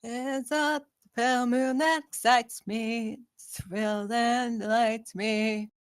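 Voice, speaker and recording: A woman's voice: on the high side, steady tone, slow pace, clean tone, no echo, clean studio sound. A woman playing a cheeky, giddy young woman in love at a screenplay table read. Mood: giddy singing.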